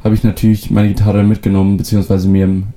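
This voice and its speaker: A young man from the North Sea island of Föhr whose first language is North Frisian, answering interview questions in a relaxed way with many fillers and restarts. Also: fairly low pitch, fast. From Föhr, northern Germany. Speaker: musician guest.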